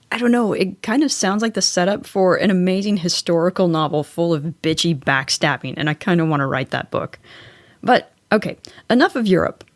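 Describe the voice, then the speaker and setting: A woman's voice: fairly low pitch, no echo. An American woman hosting a solo podcast, narrating in a chatty, scripted style with wry, informal asides. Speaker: podcast host.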